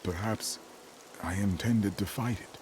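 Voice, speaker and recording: Guy doing low brooding game stranger, solemn and halting, rain noise in back. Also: natural voice. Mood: brooding, uncertain. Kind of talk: fantasy video game acting.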